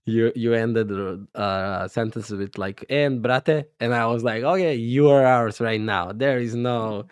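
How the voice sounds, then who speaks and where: A man's voice: mid-range voice, a little slow, clean tone, no room sound, clean studio sound. A man hosting a podcast interview, talking casually and loosely, with many fillers. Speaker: podcast host. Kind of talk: conversational interview.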